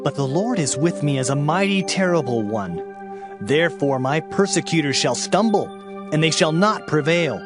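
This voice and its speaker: A man's voice: a little high, animated, normal speed, over music, natural voice. A man playing an anguished, fiery Hebrew prophet, declaiming archaic scripture solemnly in an audio drama. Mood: defiant, trusting.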